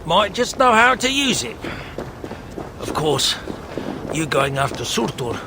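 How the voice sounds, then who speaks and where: An actor playing a gruff, folksy tinkerer in a video game, speaking in an earthy, slangy, wry way. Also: male voice, on the high side, sing-song, takes it slowly, background noise, full character voice. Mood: matter-of-fact, wry. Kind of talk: colloquial video game character acting.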